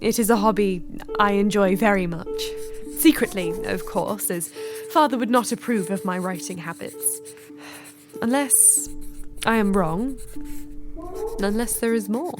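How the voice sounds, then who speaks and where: A woman's voice: mid-range voice, unhurried, over music, close to an everyday voice. An actress playing a polite, dreamy young Victorian lady, formal and earnest in a period radio drama. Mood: reflective. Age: young adult.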